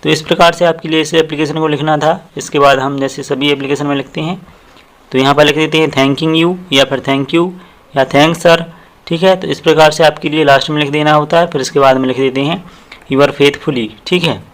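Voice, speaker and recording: A man's voice: fairly high pitch, steady tone. A man with an Indian accent teaching a lesson step by step, explaining and repeating phrases as he goes. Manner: explanatory, repeats phrases, slows down for quoted sentences. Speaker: tutorial teacher.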